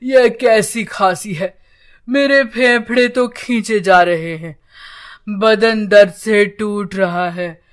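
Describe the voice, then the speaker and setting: A woman's voice: mid-range voice, slow, voice pushed down, close to an everyday voice. A woman voicing an ailing, needy village husband in a story, laboured and plaintive. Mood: pained, needy.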